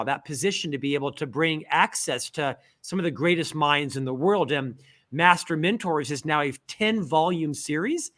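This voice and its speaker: A middle-aged man from Central Florida, a guest author in a casual interview, telling lively stories with self-deprecating humor. He has a stutter. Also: fairly high pitch. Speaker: guest, author and podcast host.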